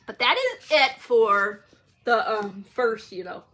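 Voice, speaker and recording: A woman's voice: on the high side, expressive, takes it slowly, bright, forceful tone. A chatty woman book vlogger talking casually and unscripted to viewers, rambling and correcting herself as she goes. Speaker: book vlogger hosting a read-along.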